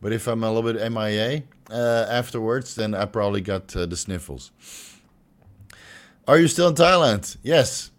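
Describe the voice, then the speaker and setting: A young man hosting a casual livestream Q&A, joking and swearing freely, with his voice turning hoarse and stuffy. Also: on the low side, normal speed. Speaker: host answering viewer questions.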